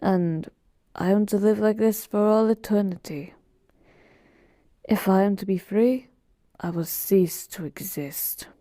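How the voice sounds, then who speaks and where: A woman playing a polite, weary genie bound to serve, speaking in a soft, measured, wistful way in an intimate audio roleplay. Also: mid-range voice, no room sound, studio quality, natural voice. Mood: somber, resigned. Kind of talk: slow, intimate roleplay monologue to a listener.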